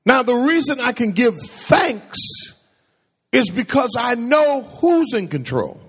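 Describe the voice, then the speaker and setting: Husky-voiced preacher, slow and animated. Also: male voice. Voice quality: calls his own voice a baritone. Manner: preachy, asks the congregation questions and answers them. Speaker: pastor. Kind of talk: call-and-response sermon. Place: church sanctuary. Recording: long hall reverb.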